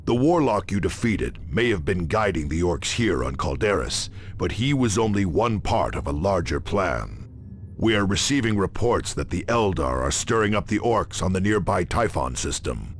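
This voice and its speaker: Authoritative briefing officer for a game, low and expressive, measured, grave, slight ambience behind. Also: male voice, slight background noise. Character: grave, authoritative male military commanding officer. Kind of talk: scripted game mission briefing.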